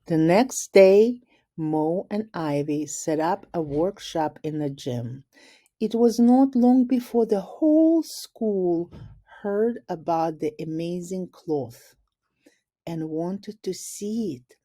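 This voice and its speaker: A woman reads a children's story aloud with a very mellow, lowish voice. She goes slowly and deliberately, stepping aside to explain words in simple terms like a teacher. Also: animated. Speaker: teacher reading a picture book aloud.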